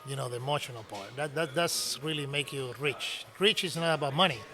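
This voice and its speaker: A middle-aged man from Cuba with a Cuban Spanish accent, telling stories in animated broken English as a podcast guest. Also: mid-range voice, a little fast. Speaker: podcast guest, cigar business owner. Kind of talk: casual storytelling conversation.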